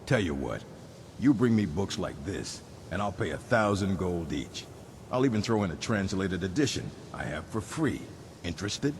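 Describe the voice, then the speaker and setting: Very deep gruff old orc librarian. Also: male voice, big pitch swings, normal speed. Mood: businesslike, shrewd. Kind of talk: video game dialogue. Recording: clear low rumbling background noise.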